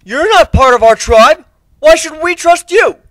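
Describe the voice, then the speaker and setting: A man's voice: very high-pitched, expressive, unhurried, fairly bright tone, no room sound, pitched above the performer's own voice, full character voice. A man voicing a distrustful young cat warrior in a fantasy story reading, challenging and indignant. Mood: defiant.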